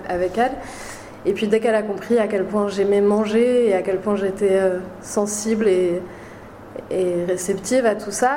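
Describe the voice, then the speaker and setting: A woman chef from Paris, talking about her career in a relaxed, halting way with many fillers. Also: mid-range voice, reverberant, a little hiss or hum. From Paris, France. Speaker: chef and restaurant owner, guest. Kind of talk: casual interview.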